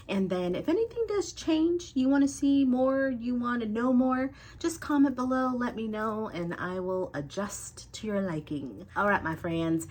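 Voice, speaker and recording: A woman's voice: lowish voice, a little hiss or hum. A young adult woman with cold congestion, giving a chatty weight loss update to her viewers. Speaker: vlogger.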